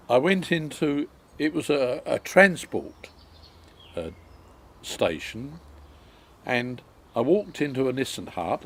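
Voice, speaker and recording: Slow-speaking man in his nineties, halting. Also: mid-range voice. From England. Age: 90s. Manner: unhurried, halting with many ums and restarts. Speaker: interviewee. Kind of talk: reminiscing life story.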